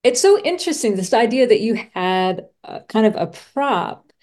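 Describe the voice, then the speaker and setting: A woman's voice: fairly high pitch, sing-song, normal speed, a little mellow. A woman hosting a casual podcast interview, effusive and rambling, rephrasing her thoughts as she goes.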